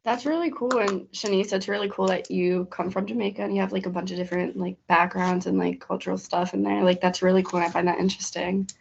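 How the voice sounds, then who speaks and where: Gravelly-voiced teen girl host. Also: mid-range voice, steady tone, fast, hardly any pauses. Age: teens. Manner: upbeat, many fillers. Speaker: student host. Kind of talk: casual interview. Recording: muffled lo-fi recording.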